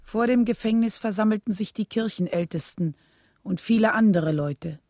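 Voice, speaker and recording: An actress playing a composed young wife and mother, narrating a radio drama in a measured, sober way. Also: medium pitch, darkish tone, no room sound, studio quality, natural voice.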